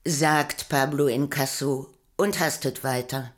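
A woman playing an unhurried poetic narrator in a radio play. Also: extremely low pitch, fairly even intonation. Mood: measured.

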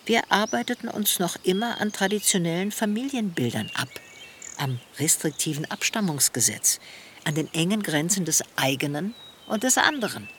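A thoughtful middle-aged woman in a radio play, looking back on her life with earnest longing. Her delivery is measured and articulate, with a very lively melody. Birds and outdoor noise are clearly audible behind her. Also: fairly low pitch. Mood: reflective, earnest. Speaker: main character in a radio play. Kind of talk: reflective first-person radio play dialogue.

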